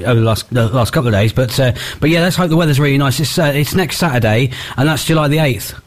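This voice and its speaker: A man's voice: mid-range voice, quick pace. A British man presenting on the radio, chatting and interviewing in an informal, rambling, jokey way.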